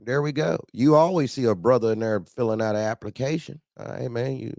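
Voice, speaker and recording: A man hosts a loose call-in chat in a medium-pitched voice. He tells stories in slangy, conversational talk and slips into quoted dialogue. The sound is dry, with very little room on it. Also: fairly quick, gravelly, no room sound. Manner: slangy, animated anecdote telling with acted-out quotes. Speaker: call-in show host. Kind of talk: casual trucking chat and storytelling.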